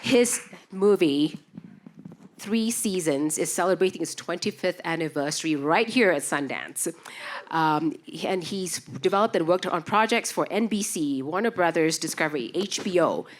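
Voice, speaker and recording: Woman, panel moderator, upbeat, filler-heavy, lowish voice, stage mic. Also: expressive, normal speed. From Indonesia, raised in Singapore. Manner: upbeat, fact-listing, frequent fillers. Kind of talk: live panel introduction. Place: festival stage. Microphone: stage microphone.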